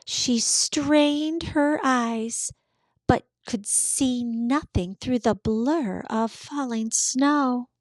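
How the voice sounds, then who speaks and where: A woman narrating a children's picture book in a careful, expressive storytelling manner. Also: on the high side, very slow, no echo. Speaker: read-aloud storyteller.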